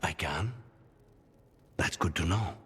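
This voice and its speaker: A man playing a controlled, vengeful assassin in a fantasy game, dry and restrained with quiet intensity. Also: low voice, slow, slight background noise. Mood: cool resolve. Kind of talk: cinematic fantasy game dialogue.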